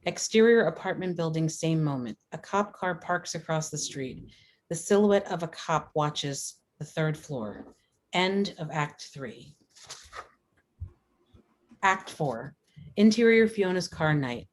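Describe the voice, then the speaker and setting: A woman's voice: low-pitched, lively intonation, takes it slowly, a little hiss or hum, low-quality recording. A woman reading scripted stage directions as narrator at a screenplay table read.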